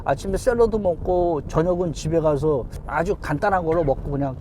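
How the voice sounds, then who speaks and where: A man's voice: fairly high pitch, a little fast, noisy background. A man in his fifties, a taxi driver, answering interview questions in an easygoing way and chuckling often. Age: 50s.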